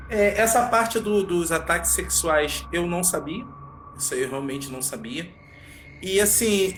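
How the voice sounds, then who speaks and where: A man's voice: high-pitched, a bit bright, low-quality recording. Joking, rambling Brazilian man. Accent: Brazilian Portuguese. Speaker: podcast co-host. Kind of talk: casual live-stream chat.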